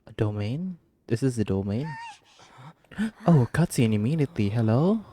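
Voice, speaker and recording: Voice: mid-range voice, animated, normal speed, mellow, a little hiss or hum. A gamer giving casual playthrough commentary, reacting in a slangy, exclamatory way with gasps. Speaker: gaming video creator.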